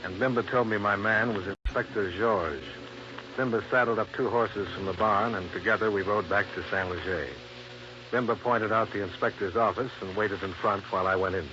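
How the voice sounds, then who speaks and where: An actor playing a tough, dry American insurance investigator in hard-boiled old-time radio style. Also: male voice, on the low side, steady tone, moderate pace, close to an everyday voice. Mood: grim, matter-of-fact. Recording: muffled, hissy old radio recording.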